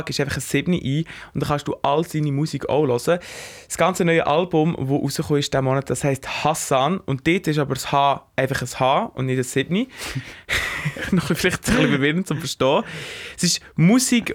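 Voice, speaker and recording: A man with a Swiss German accent, presenting a radio music feature in a conversational, explaining way. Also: a little high. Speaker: radio reporter.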